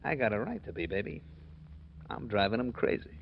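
A man's voice: lowish voice, very animated, a little fast, vocal fry. A man acting a cocky, boastful killer and gangster boyfriend in slangy, swaggering 1940s radio style. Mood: gloating. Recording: muffled, noisy vintage 1940s radio recording.

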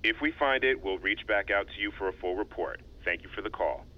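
A dull, lo-fi phone voice: a low-pitched American sheriff's dispatcher in an audio drama. He is curt and officious, with dry, unimpressed sarcasm. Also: fairly quick. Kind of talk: modern audio drama acting.

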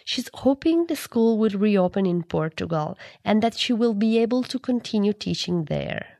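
A woman's voice: medium pitch, animated, normal speed, gravelly, warm tone, no room sound, clean studio sound. A woman reading scripted narration as a radio news reporter.

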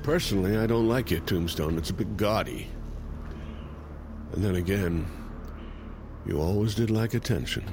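An actor playing a guilt-worn, wry brother in an audio drama, speaking haltingly and naturalistically. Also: male voice, fairly low pitch, music in the background, natural voice.

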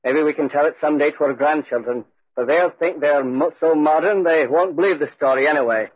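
A man's voice: a little high, fairly quick, breathy edge. A man playing a loyal, anxious, deferential servant in a 1940s radio drama. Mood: earnest, confiding. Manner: deferential, earnest. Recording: muffled old 1940s radio recording.

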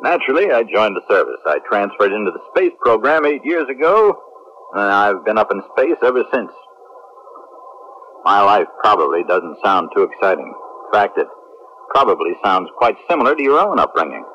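A man's voice: lowish voice, big pitch swings, normal speed, a bit bright. A man acting a confident, strict military spaceship captain in a 1970s radio drama, commanding and self-assured. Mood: reflective, wry. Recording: muffled 1970s radio drama recording, faint noise.

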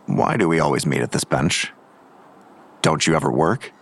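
A man's voice: extremely low pitch, expressive, normal speed, fairly bright tone, breathy edge, exaggerated voice. An actor playing a blunt, world-weary father in an audio drama, dry and clipped in delivery. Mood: guarded.

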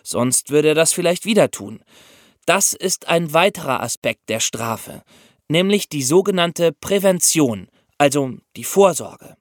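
A man's voice: on the high side, lively intonation, a little slow, no echo, studio quality. A man co-hosting an explanatory podcast, teaching and addressing the listeners directly. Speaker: podcast co-host.

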